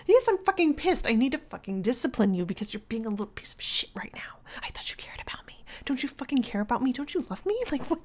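A woman acting out a bossy, scolding young girlfriend in an audio roleplay, slipping between hushed whispers and loud outbursts and laughing mockingly as she talks. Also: fairly high pitch, lively intonation, quick pace, hardly any pauses. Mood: domineering. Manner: frequent laughter while talking.

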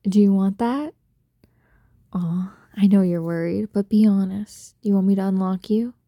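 A woman speaking in an intimate adult roleplay style straight to the listener, soft, breathy and coaxing, full of doting pet names. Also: medium pitch, fairly even intonation, normal speed, no room sound, lo-fi sound. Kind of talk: intimate erotic roleplay audio, addressing the listener.

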